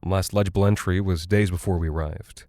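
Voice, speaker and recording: An actor voicing a preoccupied American aircrew buddy in an audio drama, blunt and colloquial, with a hesitant, muttering delivery. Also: male voice, sits low, fairly even intonation, fairly quick, mellow, no echo. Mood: puzzled, absorbed. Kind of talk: quoted dialogue in audio drama.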